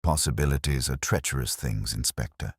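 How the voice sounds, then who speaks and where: A man reads the part of a young female graduate student in a mystery story, sly and calculating. The voice is very deep and murmurs insinuatingly, with a dry sound. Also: fairly quick, slightly rough, dry recording.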